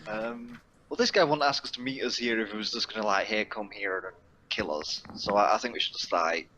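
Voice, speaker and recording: A man's voice: fairly low pitch, a little fast, bright, forceful tone, muffled recording, a little hiss or hum. A man chatting casually as a player in a tabletop roleplay game, hesitant and restarting his sentences.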